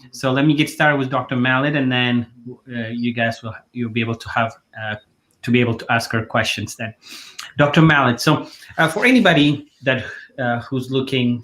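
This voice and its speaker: A man's voice: mid-range voice, fairly bright tone. Earnest male talk show host. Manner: earnest, halting with fillers. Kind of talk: hosting a live online talk show.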